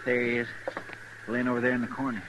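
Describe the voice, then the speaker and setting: An actor playing a good-natured, gawking frontier sidekick with a rural American drawl in an old-time radio western. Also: male voice, medium pitch, monotone, fast talker, lightly acted. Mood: hushed, uneasy. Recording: very noisy vintage radio recording.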